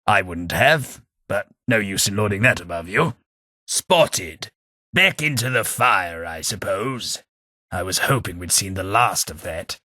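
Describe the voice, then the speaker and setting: A snobbish old ghoul nobleman from a video game, as a man acts him out, speaking with an English accent in a low, husky voice that still has a bright, cutting edge. He drawls slowly and primly, full of dry sarcasm. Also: expressive, dry recording, full character voice. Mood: wry, then sardonic. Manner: prim, snobbish, wryly sarcastic. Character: posh, sardonic old male ghoul aristocrat. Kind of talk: game companion voice lines.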